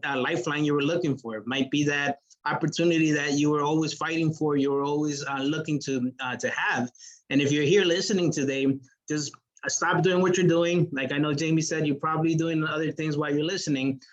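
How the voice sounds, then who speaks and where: A man's voice: fairly high pitch, a bit bright, dull audio. A man as a sales manager giving an earnest motivational business presentation on a video call, with many fillers.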